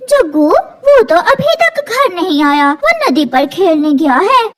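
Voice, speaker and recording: A woman's voice: extremely high pitch, big pitch swings, slow, full character voice. A woman voicing a worried mother crow in a cartoon, anxious and complaining.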